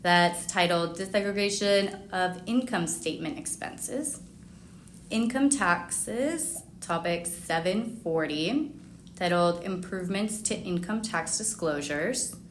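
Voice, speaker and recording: A woman's voice: on the low side, slow pace. A woman hosting a business podcast, reading introductions and questions in a formal, welcoming presenter's manner. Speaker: podcast host. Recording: roomy echo, faint background noise.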